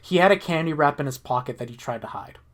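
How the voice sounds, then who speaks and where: A man's voice: on the high side, expressive, fast, slight background noise. A man hosting a playthrough, moving between narration and casual, sweary banter. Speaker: host reading a game aloud.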